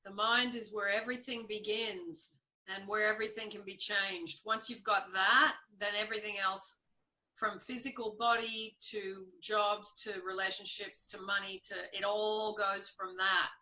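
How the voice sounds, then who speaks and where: Bright-voiced woman interviewer, animated. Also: medium pitch, moderate pace, low-quality recording. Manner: animated, encouraging, agreeing. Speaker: interviewer, host. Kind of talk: casual interview chat.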